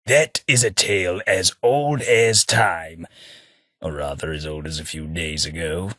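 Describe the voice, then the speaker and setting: A mild, self-deprecating English gentleman. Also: low-pitched, unhurried, dry recording. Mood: dry wry. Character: gentle hapless posh English gentleman, a former clerk. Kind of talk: game character voice lines. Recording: dry studio booth.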